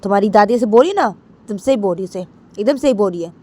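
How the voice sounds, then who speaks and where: A woman's voice: medium pitch, expressive, fast talker, warm tone, pitched above the performer's own voice, lightly acted. A woman voicing a disapproving uncle in a family story, admonishing firmly and repetitively.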